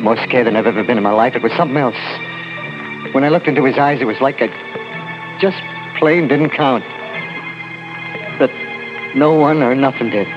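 A man acting in a 1940s radio drama as a frightened, slangy working-class carnival man who recounts his ordeal haltingly. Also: mid-range voice, lightly acted. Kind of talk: 1940s radio acting, first-person narration. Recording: muffled old 1940s radio recording.

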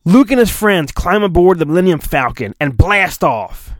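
A man's voice: high-pitched, expressive, moderate pace, dry recording. A man hosting a podcast, reading a storybook in a theatrical, mock-dramatic way and breaking off into rambling, sarcastic asides. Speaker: podcast host.

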